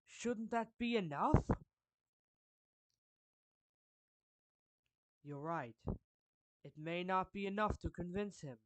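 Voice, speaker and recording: A performer voicing a suave, courtly villain turned gently protective friend in an anime fan roleplay, speaking formally and deliberately with pauses mid-phrase. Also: mid-range voice, animated, dry recording, lightly acted. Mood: surprised, concerned. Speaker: character voice actor. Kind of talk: anime fan roleplay monologue addressed to the listener.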